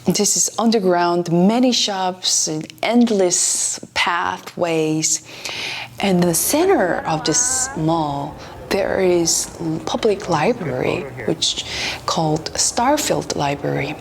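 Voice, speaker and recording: A pianist and author with a Korean accent, speaking in an earnest, soft-spoken vlog, some phrases drifting into a whisper. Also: female voice, fairly low pitch, slow pace, a crowd in the background. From South Korea. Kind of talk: reflective vlog talk to camera.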